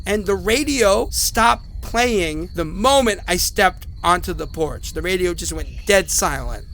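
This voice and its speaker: A man's voice: high-pitched, measured pace, gravelly, fairly bright tone, exaggerated voice. An actor playing a sick, rattled, apologetic man who rambles hesitantly through phone messages in a horror audio drama. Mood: panicked disbelief.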